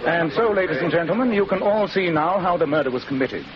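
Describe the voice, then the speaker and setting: A man acting a brisk English detective from an old radio mystery. His voice is fairly high and lively in pitch, precise and commanding, through muffled sound. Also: close to an everyday voice. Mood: accusing. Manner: precise, authoritative, theatrical. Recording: muffled, noisy 1940s radio recording.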